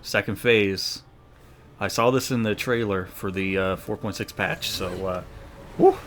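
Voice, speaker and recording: Male gaming streamer, low voice, casual playthrough commentary, slangy reactions, faint background noise. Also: a little slow.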